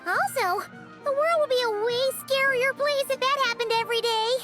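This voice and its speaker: A woman voicing a tiny, chirpy, childlike fairy companion in a video game, with a squeaky voice. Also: moderate pace, pushed and bright, faint music behind. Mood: matter-of-fact. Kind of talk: cartoonish game voice acting.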